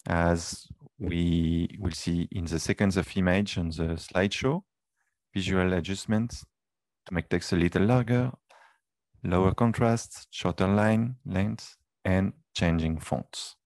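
A man's voice: low voice, takes it slowly, a little mellow, dry recording. A man giving an explanatory slide presentation in a webinar, with non-native English phrasing. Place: indoors, webinar call.

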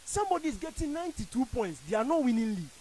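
Animated Ghanaian man arguing football. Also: very high voice, rapid-fire, slight background noise. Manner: emphatic, theatrical, jokey. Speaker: sports radio panelist. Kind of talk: animated studio debate. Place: radio studio. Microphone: studio boom mic with headphones.

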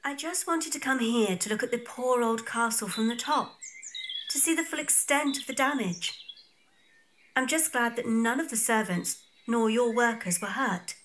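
High-voiced spirited young bride Paula, acted by a woman. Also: normal speed, fairly bright tone, slight background noise, lightly acted. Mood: tender, reassuring. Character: Paula, spirited young newlywed Victorian heiress, affectionate. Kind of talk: period audio drama acting.

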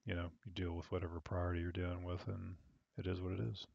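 A young man at a home desk on a headset, calmly giving controller radio calls and explaining his plans in a low-key way. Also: fast talker, vocal fry, husky, dry recording, dull audio. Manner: calm, low-key, thinking aloud with fillers. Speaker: online air traffic controller streamer.

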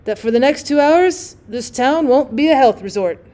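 A woman voicing a young man delivering a grim warning, drawn out slowly and with weight. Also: high voice, lively intonation, mellow. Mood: grave. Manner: slow, grave, emphatic.

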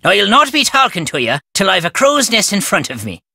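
An actress playing a gruff, suspicious countrywoman with an Irish accent and a curt, dismissive manner. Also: mid-range voice, very animated, fairly quick, bright, forceful tone, exaggerated voice. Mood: brusque, needling.

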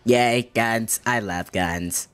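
A man voicing an eager, trigger-happy cartoon combat robot in a blunt, excitable amateur comic dub. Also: on the low side, extremely slow, soft background music. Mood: gleefully aggressive.

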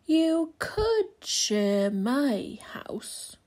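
A gentle, hesitant tortoise from a storybook, put on by a woman reader. The words come slowly and drawn out, in a raised pitch. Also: sing-song, exaggerated voice. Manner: slow, drawn-out.

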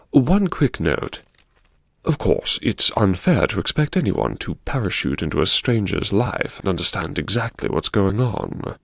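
A genteel gentleman diarist, acted by a man for a dramatic audiobook, confessing with wry, mannered politeness. His low voice is creaky and husky. He hesitates formally, with wide swoops in intonation. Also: dry recording, studio quality, full character voice. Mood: resolved, fussy. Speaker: audiobook narrator. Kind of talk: first-person dramatic audiobook narration.

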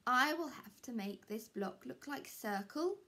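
A woman's voice: fairly high pitch, lively intonation, measured pace, bright, forceful tone. A woman voicing an anxious, easily flustered square block character in a storybook, hesitant and lamenting. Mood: fretful.

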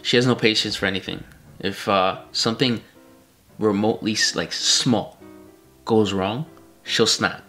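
A man in his late twenties, relaxed and teasing, chatting casually beside his fiancée. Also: lowish voice, unhurried, long pauses, soft background music. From Argentina. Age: 20s. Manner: teasing, relaxed, laid-back storytelling. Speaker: fiancé and guest. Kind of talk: casual chat. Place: small indoor room in front of a plain wall.

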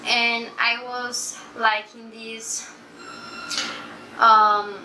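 Bright-voiced young woman. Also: a little high, very slow. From Colombia. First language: Spanish. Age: young adult. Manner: relaxed, fluent English with fillers. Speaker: interviewee. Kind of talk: casual interview in a second language. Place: living room, sitting on a couch. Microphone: camera across the room. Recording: echoey room, distant mic, faint noise.

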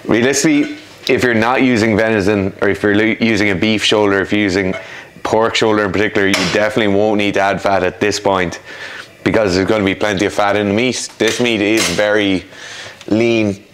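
Irish guy in his 30s talking thru a recipe in his kitchen, lowish voice, easygoing, bit of hiss. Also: a little fast. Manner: relaxed, explanatory, chatty asides. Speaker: cook demonstrating a recipe. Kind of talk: casual cooking demo chat. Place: home kitchen indoors.

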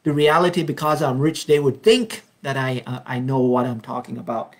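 A man in his fifties from Belize leading an informal Bible study over a webcam at home, speaking earnestly and unhurriedly with frequent ums, slowing down to read verses. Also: mid-range voice, little pitch movement. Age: 50s. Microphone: webcam, video call framing.

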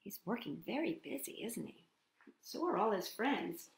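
A woman's voice: medium pitch, lively intonation, normal speed, creaky, roomy sound. A woman hosting storytime for young children, reading a picture book expressively and addressing the children directly with questions. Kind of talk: picture-book read-aloud for young children with asides and sing-song greetings.

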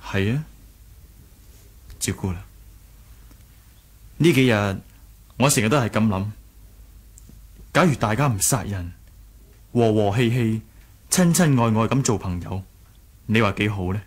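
Gentle, husky young hero. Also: male voice, on the low side, animated, a little slow, pauses often. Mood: earnest. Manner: mild, hesitant, sincere. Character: gentle, earnest, kind-hearted young martial-arts hero. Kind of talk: Cantonese radio drama acting, 1980s.

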